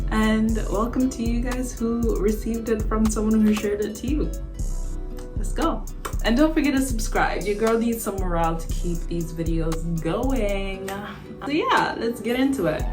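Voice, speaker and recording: Young woman vlogger from Zimbabwe, lowish voice, casual and chatty, music under her talk. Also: roomy sound. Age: young adult. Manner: chatty and self-conscious, laughs often. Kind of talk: casual vlog monologue. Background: background music.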